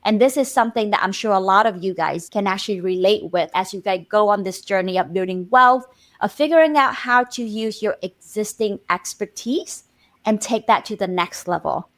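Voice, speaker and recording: Woman from vietnam hosting a podcast, upbeat and energetic, middle pitch. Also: moderate pace. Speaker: podcast host. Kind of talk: podcast interview.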